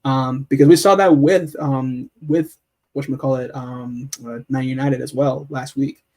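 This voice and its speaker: A man hosting a live football chat, talking in an animated, playful way with lots of fillers and restarts. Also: mid-range voice, normal speed. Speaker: host.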